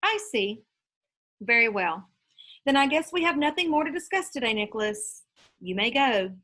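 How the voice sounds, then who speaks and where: A woman voicing a stern, shrewd female schoolteacher in a storybook, speaking with deliberate, clipped authority. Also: fairly high pitch, very animated, bright, forceful tone, full character voice. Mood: coolly resigned.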